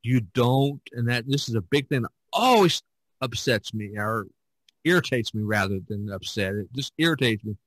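A man chats casually as a podcast host, with a medium-pitched voice in a dry recording. His delivery is folksy and rambling, often halting as he works toward his point. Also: lively intonation, a bit bright, dry recording. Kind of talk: casual conversation.

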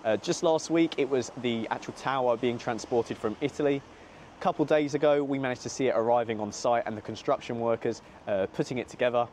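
A man's voice: mid-range voice, fairly quick, a little mellow, slight background noise. A British man in his twenties presenting an update vlog to camera outdoors as the host. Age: 20s. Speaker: theme park vlog host. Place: outdoors by a seaside amusement park. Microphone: small clip-on mic on jacket, filmed at arm's length.

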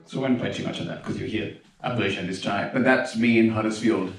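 A young man giving a keynote talk as a pianist, speaking in a relaxed, chatty way with many fillers and restarts, on a handheld mic in a large dark concert hall. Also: fairly low pitch, fast, over loud music. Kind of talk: conference lecture with video examples. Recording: roomy hall sound, mic heard from a distance.

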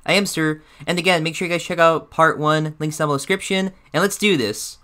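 A man's voice: a little high, steady tone, fairly quick, bright, forceful tone. A man voicing a deferential, slightly unsure shadow bear sidekick who gives reaction commentary. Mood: eager, puzzled. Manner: deferential, hesitant, obliging.

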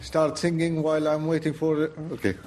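A man's voice: on the high side, level tone, a little fast. Hesitant Syrian man, some background noise. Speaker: artist presenting his work. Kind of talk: informal illustrated talk. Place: public event on a stage.

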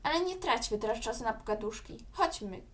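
A calm old grandmother from a children's audio story, acted by a woman. She gently guides and keeps secrets, speaking in a fairly high voice. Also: a little fast, distant mic.

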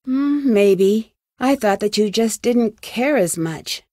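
Young american girl detective in a video game, kinda high voice, nosy n determined, super dry studio sound. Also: animated, slow, darkish tone, dry recording. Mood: inquisitive, then casual, reflective. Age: young adult. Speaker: video game lead character. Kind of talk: scripted game dialogue.

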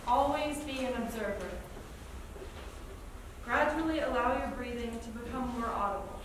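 A woman acting in a staged reading as a gentle guide who gives deliberate, instructive meditation directions. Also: fairly high pitch, close to an everyday voice. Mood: calm, instructive. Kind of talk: live theatre reading, guiding a group meditation. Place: large indoor theatre stage. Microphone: far camera in audience. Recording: echoey theatre, distant, noisy.

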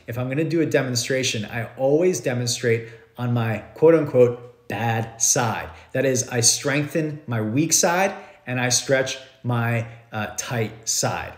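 A man's voice: medium pitch, roomy sound. A man in his thirties teaching yoga on a live stream from his living room, talking animatedly with loose, repetitive phrasing. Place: living room at home, indoors.

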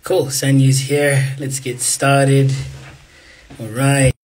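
A man's voice: medium pitch, little pitch movement, slow, a little mellow. Upbeat young man hosting a live call. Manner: upbeat, enthusiastic, many fillers. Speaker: host. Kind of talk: casual livestream interview. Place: small indoor room. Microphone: phone front camera, video call.

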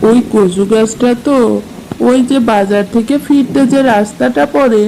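A woman voicing a lazy, grumbling village husband in an animated cartoon. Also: fairly high pitch, normal speed, slight background noise, lightly acted, poor audio. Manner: grumbling, exasperated. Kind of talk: cartoon dubbing.

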